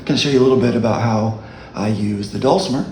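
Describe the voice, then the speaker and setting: A man with a low voice giving a relaxed, halting dulcimer lesson as a worship leader. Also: roomy sound, slight background noise. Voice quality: low speaking voice. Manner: unhurried, halting explanation. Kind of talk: casual music tutorial.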